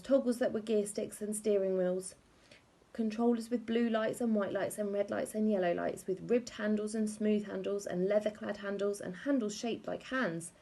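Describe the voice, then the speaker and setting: Woman reading kids book out loud, expressive, soft husky voice with some vocal fry. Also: medium pitch. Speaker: audiobook reader. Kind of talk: expressive children's story narration.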